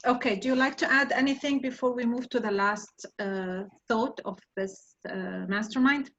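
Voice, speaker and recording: A woman's voice: on the high side, normal speed, a bit bright, lo-fi sound. A woman from Lebanon with a Lebanese accent, hosting an online mastermind group and sharing personal stories in a reflective way.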